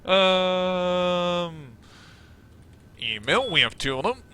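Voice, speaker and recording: Chatty Dutch man with a bright voice. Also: sits high, expressive, drawn-out words, smooth. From Netherlands. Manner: chatty, rambling, self-mocking asides. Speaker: gaming channel host. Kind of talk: let's play commentary.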